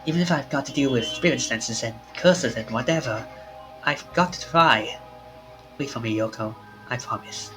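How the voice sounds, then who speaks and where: A man's voice: mid-range voice, normal speed, lightly acted, low-quality recording. A man voicing a frightened young man whose friend has collapsed, reading a visual novel aloud and stammering in panic, with game music behind. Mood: grieving, resolved. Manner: stammering, panicky, then quietly resolved.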